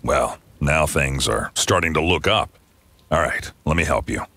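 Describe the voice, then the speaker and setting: An actor playing a gruff, world-weary workman in a video game, grumbling bitterly and helping only grudgingly. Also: rumbling low, measured pace, noisy background. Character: bitter, gruff laid-off male workman, down on his luck.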